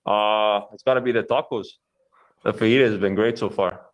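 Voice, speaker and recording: A man's voice: fairly low pitch, steady tone, normal speed. A young man, a goalkeeper answering press questions with many fillers and restarts.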